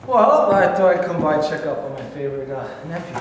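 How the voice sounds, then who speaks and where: An actor in a staged play reading, playing a pushy, persuasive middle-aged uncle who hesitates with fillers. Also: male voice, on the high side, very animated, brisk, noisy background. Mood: coaxing. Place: large rehearsal studio. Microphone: far camera. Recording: roomy echo from a far camera.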